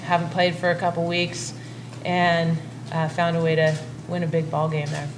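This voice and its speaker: Middle-aged woman coach, fairly low voice, calm and plainspoken, table mic, audible background hiss. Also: normal speed. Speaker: head softball coach. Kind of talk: postgame press conference answers. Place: press conference room.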